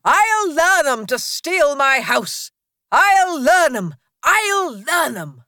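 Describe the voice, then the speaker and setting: A woman's voice: sits high, very animated, slow pace, sharp tone, dry recording, studio quality, pitched above the performer's own voice, full character voice. A woman voicing a boastful, pompous female toad in a storybook reading, lofty and blustering. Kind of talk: audiobook character reading.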